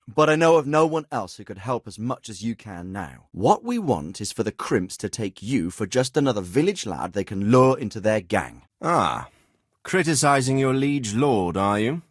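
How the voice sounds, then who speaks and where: An actor playing a dignified, middle-aged medieval lord in a video game, fatherly but shrewd, with a measured, authoritative and well-spoken delivery. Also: medium pitch, no room sound, clean studio sound. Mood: stern, concerned, then teasing. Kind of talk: scripted game dialogue.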